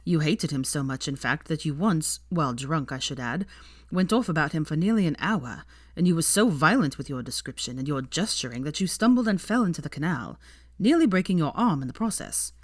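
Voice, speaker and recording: A woman's voice: low voice, lively intonation, brisk, no room sound, studio quality. A woman voicing a charming but needling young man with a pointed, sardonic, disapproving manner in a story reading. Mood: accusing.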